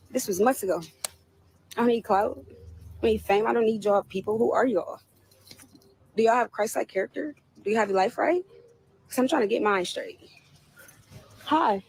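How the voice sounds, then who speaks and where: Defiant, preachy woman with a high voice. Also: darkish tone, a little hiss or hum, low-quality recording. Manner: preachy and defiant, speaks of faith and spirits. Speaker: woman in a played clip. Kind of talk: casual talk to camera.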